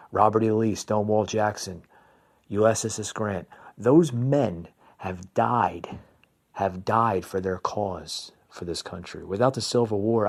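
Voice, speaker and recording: A man from New Jersey hosting a podcast, ranting through political commentary, calling listeners "folks" and stumbling over repeated words. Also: lowish voice. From New Jersey, USA. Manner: repetitive restarts, stammering repeats. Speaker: podcast host.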